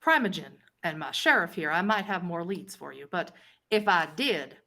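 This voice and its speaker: A woman playing a haughty, powerful vampire ruler in a tabletop roleplay, measured and imperious, with deliberate pauses. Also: lowish voice, very animated, pushed and bright, full character voice. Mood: condescending. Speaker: game master.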